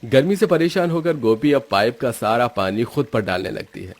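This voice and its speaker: A man's voice: medium pitch, moderate pace. A man giving scripted narration as the storyteller of a moral tale.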